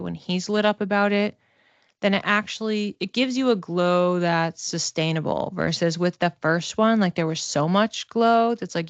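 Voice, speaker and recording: A woman giving a casual one-to-one card reading as a psychic, talking conversationally and haltingly, circling back over phrases as she thinks aloud. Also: fairly low pitch, no room sound, muffled recording. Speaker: psychic reader.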